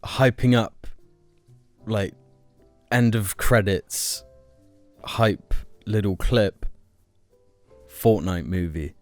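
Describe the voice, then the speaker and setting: Playful young guy, low voice. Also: dry recording, soft background music. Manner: playful, jokey, quick interjections. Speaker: podcast co-host. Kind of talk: casual podcast chat.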